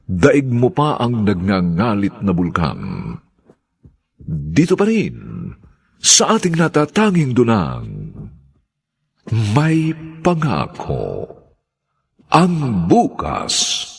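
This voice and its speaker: A man's voice: on the low side, huge pitch swings, drawn-out words, vocal fry, long pauses, breathy edge. A man with a Filipino accent announcing a radio drama and its credits in a dramatic narrating style.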